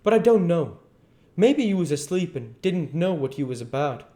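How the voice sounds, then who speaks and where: A man's voice: on the high side, lively intonation, moderate pace, darkish tone, voice pushed up, lightly acted. A man voicing a blustering old con man with folksy rural speech in a dramatised storybook reading. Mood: indignant.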